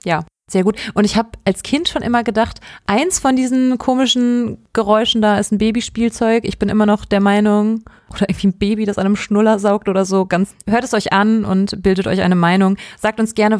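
Female podcast co-host, mid-pitched voice, very dry studio-like sound, relaxed review conversation. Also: a little fast, hardly any pauses, dry recording. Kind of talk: casual review chat.

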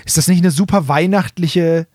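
A man's voice: fairly high pitch, normal speed, a little mellow, dry recording, clean studio sound. A man chatting casually as a podcast co-host in a playful, ironic way. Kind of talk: casual chat.

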